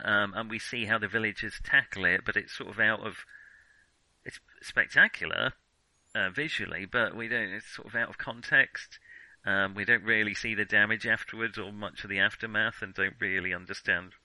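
A man's voice: fairly low pitch, moderate pace, pushed and bright, no room sound, poor audio. A man talking through film criticism off the cuff, reflective and hesitant, often qualifying what he says. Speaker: film discussion podcast host. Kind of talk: unscripted film criticism discussion.

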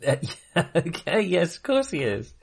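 A man's voice: fairly high pitch, wildly swooping pitch, moderate pace, creaky, a little hiss or hum. A man talking casually as a podcast co-host in a film discussion, with a chatty, hesitant delivery.

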